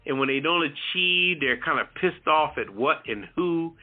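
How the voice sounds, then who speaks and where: A man's voice: on the high side, animated, moderate pace, slight background noise. A man co-hosting a casual talk show discussion, posing rambling rhetorical questions. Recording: muffled, band-limited audio.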